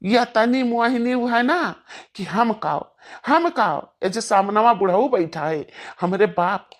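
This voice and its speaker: A woman's voice: medium pitch, expressive, slow. A woman voicing a scolding, hot-tempered villager in a cartoon family quarrel, with an Awadhi accent and combative, repetitive delivery. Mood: defiant. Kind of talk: cartoon family drama acting.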